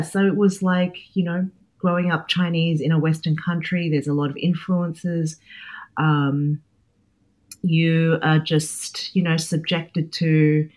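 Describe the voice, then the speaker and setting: Interview guest: Australian chef, 40s, deep for a woman, reflective, hesitant. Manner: thoughtful, many fillers and restarts. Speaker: guest, chef and cookbook author.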